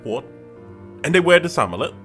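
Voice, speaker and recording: A man's voice: a little high, sing-song, brisk, a bit bright. A man playing a world-weary, grave older detective in a fantasy audio drama. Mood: incredulous, exasperated. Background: music.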